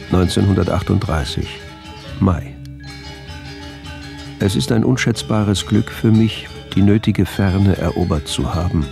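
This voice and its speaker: Radio drama: brooding, grandiose male doctor, deep voice, formal measured diary monologue, faint music underneath. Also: gravelly.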